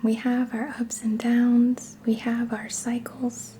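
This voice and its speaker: A woman leading a reiki ASMR guided meditation in a soft, breathy, mostly whispered voice, gentle and soothing, with lingering pauses. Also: a little high, monotone. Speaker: reiki ASMR practitioner leading a healing session. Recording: clear background noise, ringing singing bowls. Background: tuning forks and singing bowl tones.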